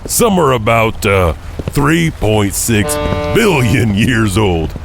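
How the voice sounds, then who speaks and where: Man playing a gravelly, folksy janitor host. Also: on the low side, very animated, slow, music in the background, full character voice. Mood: chatty, jovial. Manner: joking, rambling, hesitant. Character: folksy, goofy male janitor telling strange tales. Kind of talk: in-character podcast monologue.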